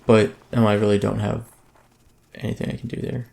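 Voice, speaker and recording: A man's voice: fairly low pitch, flat delivery, fairly quick, vocal fry, darkish tone. A man hosting a let's play, giving casual, rambling gameplay commentary that keeps breaking off mid-thought. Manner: rambling, self-interrupting, chatty.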